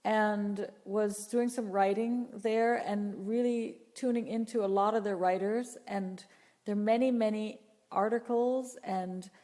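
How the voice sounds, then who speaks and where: A woman voice teacher answering viewer questions live, talking in an unhurried, earnest way and often repeating or restarting her phrases. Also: medium pitch, fairly even intonation, dark tone, in a large hall. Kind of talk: live Q&A talk, reading chat questions.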